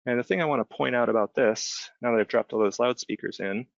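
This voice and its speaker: A man giving an informal, step-by-step software demonstration as a webinar trainer, explaining conversationally and often checking in with short tag questions. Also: medium pitch, fast talker, creaky, no echo. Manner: conversational, explanatory, frequent check-in tags like "right?". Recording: dull, band-limited close dry voice recording.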